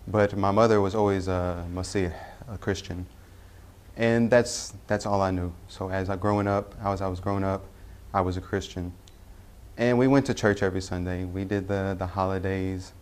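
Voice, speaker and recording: Low-voiced man telling his story. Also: level tone. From Tennessee, US Southern. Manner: halting, self-correcting with many "uh" pauses. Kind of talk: personal testimony.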